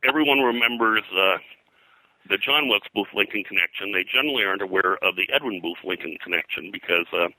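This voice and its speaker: Older man, very sharp bright tone, dry close sound, digressive storytelling, hesitant phrasing. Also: fairly low pitch, fast, no echo, rough recording. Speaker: pop culture historian, interview guest. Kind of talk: anecdotal interview talk.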